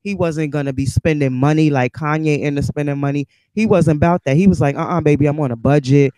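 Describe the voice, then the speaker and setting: A man hosting a casual chat, with a deep voice and a playful, slangy, teasing way of talking. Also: fairly even intonation, quick pace, mellow, clear, resonant tone, dry recording, clean studio sound.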